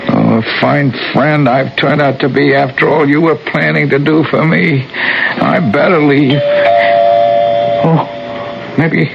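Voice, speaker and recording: Dull, noisy vintage radio sound carries a timid lost ghost. The voice is fairly high for a male and creaky, apologetic and stammering. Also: fairly even intonation, takes it slowly, long pauses. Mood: uneasy, apologetic. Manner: hesitant, stammering. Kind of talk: 1970s radio drama acting. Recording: muffled, noisy old radio broadcast.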